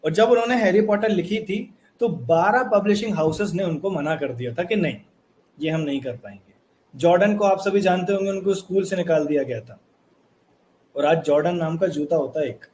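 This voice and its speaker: A young man with a high-pitched voice gives a motivational course lesson. He talks earnestly and repeats his points. He keeps asking the viewer rhetorical questions. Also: darkish tone, a little hiss or hum. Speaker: online course instructor.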